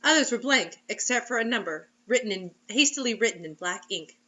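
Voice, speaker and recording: A woman's voice: on the high side, expressive, a little fast, pushed and bright, highs cut off. A woman reading a story aloud as host in a playful, theatrical, mocking way, breaking into casual comic asides. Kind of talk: comedic dramatic reading with casual commentary.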